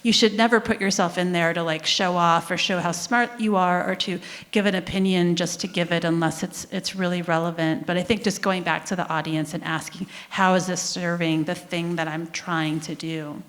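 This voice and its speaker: A woman's voice: on the low side, steady tone, normal speed, fairly bright tone. A woman science author answering on a conference panel, chatty and loose, often saying "like". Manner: chatty, fillers like "like". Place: conference hall. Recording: reverberant conference hall.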